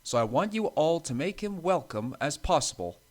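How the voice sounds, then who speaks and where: A man's voice: on the high side, big pitch swings, normal speed, voice pushed up. A man voicing a firm but kindly railway controller who speaks formally, in a children's story. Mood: firm, announcing. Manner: formal, authoritative.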